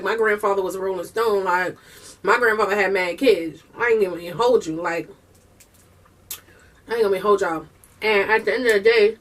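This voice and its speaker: Fast-talking woman with a Southern Puerto Rican lilt. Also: mid-range voice, a bit bright, far from the mic. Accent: Puerto Rican-tinged Southern US. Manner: breaks into bursts of singing. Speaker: vlogger and mother. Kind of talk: casual mukbang chat while eating.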